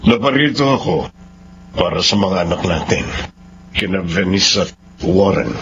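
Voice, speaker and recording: A man's voice: deep voice, big pitch swings, unhurried, a bit bright, slight background noise, heavily acted. A man playing a protective middle-aged father in a radio soap, earnest and dramatic. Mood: grave. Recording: dull audio under clear music.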